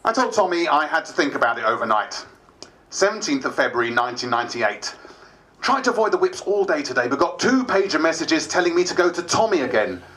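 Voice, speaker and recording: A man's voice: fairly high pitch, very animated, fairly quick, sharp tone. A man playing a wry, candid diarist who is new to politics, narrating dramatised diary entries.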